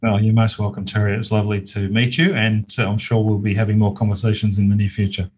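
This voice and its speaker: Husky, lowish-voiced Australian man. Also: flat delivery, fast, darkish tone. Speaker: interview guest, business author. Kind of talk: conversational interview answers.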